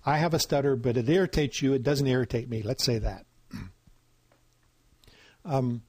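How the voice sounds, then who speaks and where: A man's voice: medium pitch, moderate pace, darkish tone, husky, dry recording. A man giving an informal lecture, talking in a conversational way with lots of fillers and asking "right?" to check in. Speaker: instructor.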